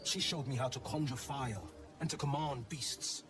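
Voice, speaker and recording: Man acting an earnest, haunted warlock over loud music. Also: medium pitch, normal speed, lightly acted. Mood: regretful. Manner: earnest, brooding storytelling. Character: earnest, haunted heroic young warlock with fiendish pact. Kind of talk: dramatic video game monologue.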